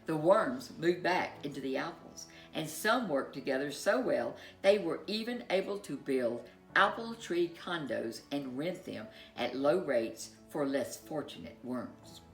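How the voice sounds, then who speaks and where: A woman storyteller reading a children's tale in a playful, expressive way with drawn-out emphasis. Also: sits low, gravelly, reverberant, poor audio. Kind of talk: animated scripted children's story narration.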